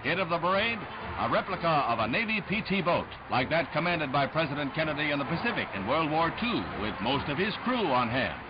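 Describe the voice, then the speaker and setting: A man's voice: a little high, expressive, a little fast, heavy background noise. An American man reading scripted 1960s newsreel narration in a formal, declamatory announcer's delivery. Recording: dull vintage newsreel film soundtrack, loud music. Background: loud newsreel music.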